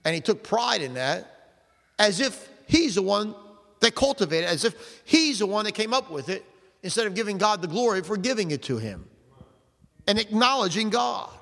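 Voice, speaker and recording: An older man preaching a sermon as pastor, with fervent emphasis, slowing down to stress scripture and putting questions to the congregation. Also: sits high, big pitch swings, vocal fry, a bit bright. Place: church sanctuary. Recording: long reverb of a large hall.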